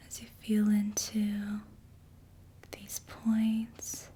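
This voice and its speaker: A woman speaking in a breathy whisper, giving slow, soothing ASMR relaxation guidance with gentle instructions. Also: completely flat delivery. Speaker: ASMR reiki practitioner. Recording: faint background noise with low rumble.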